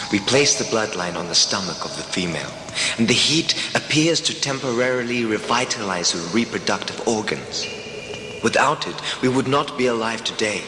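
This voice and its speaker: A man's voice: lowish voice, background noise, lightly acted. An actor playing a proud, centuries-old lion-like king in a sci-fi audio drama, solemn and declamatory. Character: proud, scarred, centuries-old leonine king, male creature. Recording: echoey hall reverb, music behind.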